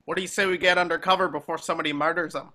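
A man playing a gruff, impatient old wizard war veteran who barks curt orders in a table read over a video call. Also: a little high, fairly even intonation, rapid-fire, pushed and bright. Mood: brusque, commanding. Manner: barking, commanding, curt.

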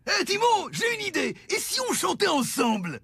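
Gravelly, high-pitched naive cartoon warthog. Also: male voice, huge pitch swings, normal speed, loud music behind the voice, heavily acted. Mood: excited. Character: big, naive, good-natured male cartoon warthog. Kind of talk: animated film dub acting.

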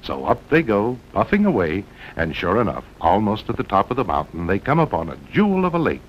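An American man narrates a vintage sixties outdoor film with very animated, swooping delivery and dramatic short phrases. His voice sits fairly low. The soundtrack is dull and old, with a faint rumbling noise beneath it. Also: brisk. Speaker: documentary narrator. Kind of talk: 1960s promotional film narration.

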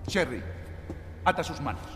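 A man's voice: on the high side, lively intonation, moderate pace, close to an everyday voice. Man acting menacing, swooping police chief villain. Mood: threatening, commanding. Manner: commanding, threatening, condescending. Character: menacing middle-aged police chief, cruel kidnapper. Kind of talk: dramatic video game acting. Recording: reverberant game audio under loud music.

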